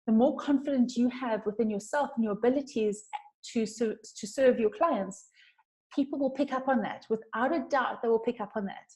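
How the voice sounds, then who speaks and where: A South African woman hosts a casual interview with a fairly high voice. She talks in a chatty, effusive way and puts emphasis on her points. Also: a little mellow, poor audio. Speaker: interview host, branding coach.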